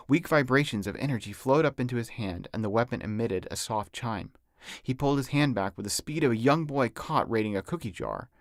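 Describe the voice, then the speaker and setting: A man's voice: medium pitch, dry recording, clean studio sound. A man, an author, reading his own novel as scripted audiobook narration.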